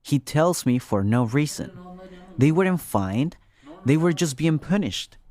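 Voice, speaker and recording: A man's voice: a little high, lively intonation, a little slow, darkish tone. A man giving scripted first-person narration as a podcast reporter. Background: music.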